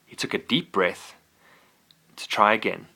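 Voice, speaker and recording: A father reading a bedtime story aloud to his child, gentle and animated. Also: on the low side, a little slow, vocal fry, slightly rough.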